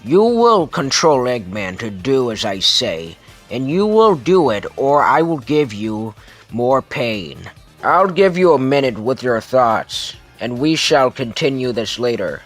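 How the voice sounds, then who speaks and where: A man voicing a brutal villain boss in an amateur comic dub, threatening, pompous and deliberate. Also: mid-range voice, big pitch swings, faint music behind. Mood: commanding. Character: menacing brutal villain boss interrogating a captive.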